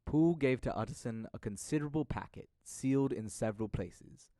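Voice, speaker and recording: A man's voice: mid-range voice, a little fast, warm tone, dry recording, studio quality. A young man giving scripted narration for an audio drama. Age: young adult.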